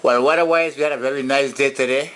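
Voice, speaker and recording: A man's voice: medium pitch, steady tone, fast talker, fairly bright tone. A man making easygoing, casual small talk as an amateur operator over two-way radio, using operator jargon. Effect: two-way radio transmission. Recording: radio transmission with background static.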